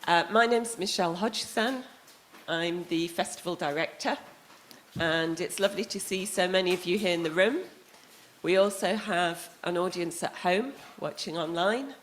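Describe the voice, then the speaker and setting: A woman with a fairly low voice addresses the audience from the stage, opening the event. She makes practical announcements and thanks people in a steady, welcoming way, with a few ums along the way. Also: moderate pace, a little hiss or hum. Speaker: festival director. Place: theatre before an audience.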